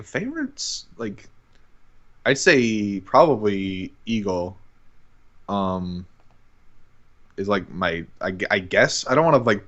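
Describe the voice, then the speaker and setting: A man's voice: deep voice, a bit bright, muffled recording. A young man chatting casually as a podcast guest, hesitant and hedging with lots of "like". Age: young adult. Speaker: guest amateur voice actor on a podcast. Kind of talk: casual chat.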